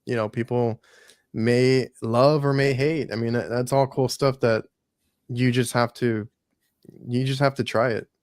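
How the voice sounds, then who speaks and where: A man's voice: medium pitch, fairly even intonation, a little slow, a little mellow, dry recording, studio quality. A man in his mid-thirties hosting a podcast, easygoing and casual, often repeating words as he thinks. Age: 30s. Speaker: podcast host.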